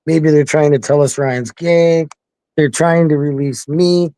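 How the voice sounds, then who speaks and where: A man co-hosting a live online panel, talking in a relaxed, hesitant way that often trails off. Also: a little high, unhurried, no room sound. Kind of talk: casual live panel chat.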